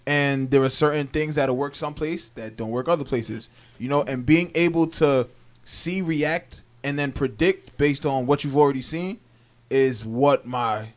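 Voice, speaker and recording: A young man hosting a radio show, chatting quickly in a strong, booming voice. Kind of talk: casual interview chat.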